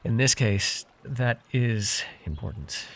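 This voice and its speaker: A man's voice: mid-range voice, lively intonation, takes it slowly. A man playing a chatty, wry and friendly fae potion brewer in a fantasy audio roleplay, talking to the listener with hesitant asides. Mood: explanatory, focused.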